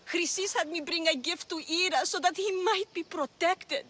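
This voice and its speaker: A woman's voice: very high voice, moderate pace, fairly bright tone. A woman playing a devout young mother in a video game, emotional and earnest. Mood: anguished, pleading. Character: pleading, devout young mother and cult follower. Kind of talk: dramatic video game voice acting.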